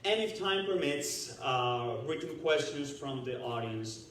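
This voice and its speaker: A man from Venezuela, a Spanish speaker, moderating a candidate forum in English with a Venezuelan accent, speaking haltingly with restarts. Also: fairly high pitch, lively intonation, fairly bright tone, slight background noise. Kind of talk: explaining forum rules. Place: large echoey hall. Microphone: distant room mic. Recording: echoey hall, voice far off.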